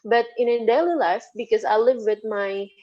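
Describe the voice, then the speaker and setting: A woman's voice: fairly high pitch, normal speed, warm tone. A woman from Indonesia, a native Sundanese speaker with an Indonesian accent, gives casual interview answers as a lecturer, hesitantly and with repeated words. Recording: dull, lo-fi audio.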